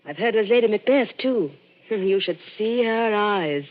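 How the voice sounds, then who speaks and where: A woman's voice: medium pitch, expressive, moderate pace, close to an everyday voice. An actress playing an anxious, earnest young woman companion in a 1940s radio drama, speaking in a flustered, breathless way. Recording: dull old radio broadcast recording.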